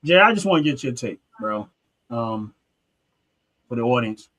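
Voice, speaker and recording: A man hosts a casual talk show at an everyday middle pitch. He speaks earnestly, often restarting his sentences and dropping in street phrasing like "real talk.". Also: expressive, moderate pace, a bit bright. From North Philadelphia, USA. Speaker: podcast host.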